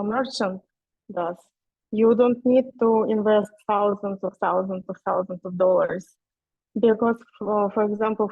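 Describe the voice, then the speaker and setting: A woman's voice: mid-range voice, no echo, muffled recording. A woman in her forties from Russia with a Russian accent, a non-native speaker giving a webinar presentation, hesitant and full of fillers. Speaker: guest speaker.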